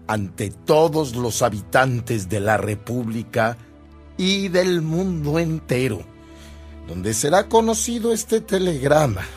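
An actor playing a devout, self-sacrificing bishop in a radio drama, measured and declamatory, with a gravelly voice. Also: male voice, medium pitch. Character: solemn, devout, self-sacrificing bishop dictating a protest letter.

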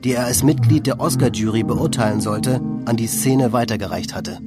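A male narrator reads a scripted documentary text. His mid-range voice is measured and factual, with music playing behind it. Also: medium pitch.

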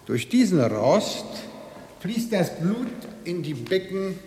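In a cavernous, reverberant space, a slaughterhouse manager conducts a tour for a radio play. His highish voice swings widely in pitch. He is officious and pedantic, coaxing with a hidden threat underneath. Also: big pitch swings, takes it slowly, darkish tone, full character voice. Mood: clinical, matter-of-fact. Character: evasive, menacing male slaughterhouse manager giving a tour. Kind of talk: radio drama acting. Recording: echoey hall with long reverb.

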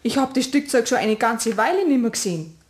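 A woman's voice: a little high, big pitch swings, slight background noise. A woman playing a strict, conscientious mother in a children's radio play, with a Bavarian accent and a firm manner. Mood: exasperated, scolding. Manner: scolding, brisk, firm.

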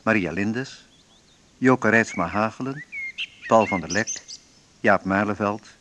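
A man announcing in a formal, measured, scripted style, as at the credits of a radio play. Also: lowish voice, a bit bright, a little hiss or hum. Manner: slow, measured, list-like delivery.

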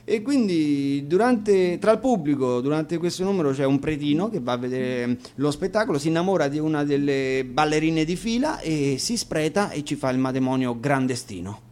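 A man's voice: a little high, lively intonation, normal speed, hardly any pauses, a little mellow. A man, a musician giving informal, hesitant and digressive explanations between songs at an acoustic concert. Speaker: musician and band frontman introducing songs. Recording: live radio concert with instruments.

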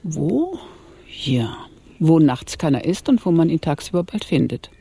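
An older actress playing a cool, literate old ringleader in a radio crime comedy, with a gravelly, husky voice. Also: female voice, deep voice, big pitch swings, a little slow, dark tone, exaggerated voice. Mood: measured scheming. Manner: decisive, precise. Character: cool, decisive, literate old lady masterminding a plot.

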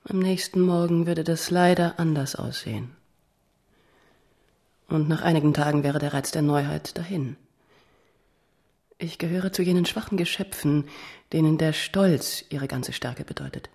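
A woman playing a proud, guarded noblewoman in a radio drama, speaking in a formal, measured way. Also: deep voice, darkish tone.

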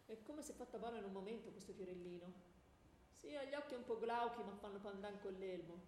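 A woman's voice: medium pitch, quick pace, a little mellow, natural voice. A woman playing a glib, theatrical Hephaestus in a radio stage comedy, wordy, declamatory and mocking. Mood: smitten, teasing. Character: glib, theatrical player voicing Hephaestus, the axe-wielding smith god. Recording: echoey room, faint background noise.

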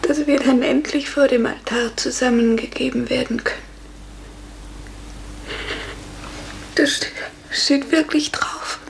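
A woman's voice: high voice, steady tone, moderate pace, vocal fry. An actress playing a fragile, fearful serf woman who once danced, earnest and hesitant, in an old radio play. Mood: moved, hushed. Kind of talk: 1950s German radio play acting. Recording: echoey, hissy 1950s radio recording.

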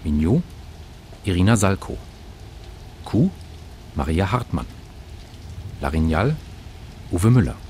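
A male announcer with a very deep voice reads credits formally. His delivery is markedly slow and scripted. Rain and thunder are clearly heard behind him. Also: sing-song, darkish tone, slight background noise. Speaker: radio announcer.

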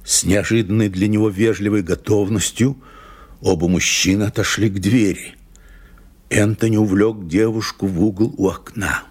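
A man's voice: fairly low pitch, steady tone, normal speed, slightly rough. A man narrating an audio play as a composed literary storyteller.

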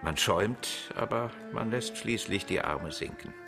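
An actor playing a proud, bitter, erudite betrayed husband in a German radio crime drama, articulate and disdainful, with a husky voice. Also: male voice, lowish voice, measured pace, music in the background. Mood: bitter, resigned. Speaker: actor playing a murder suspect.

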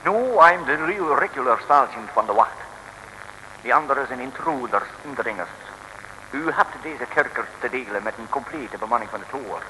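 An actor playing an earnest, indignant prison guard sergeant in a radio serial, speaking formally. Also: male voice, medium pitch, expressive, normal speed, pushed and bright. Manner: formal, mixing English into his speech. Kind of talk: 1950s-60s radio serial acting. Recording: echoing hall, faint noise.

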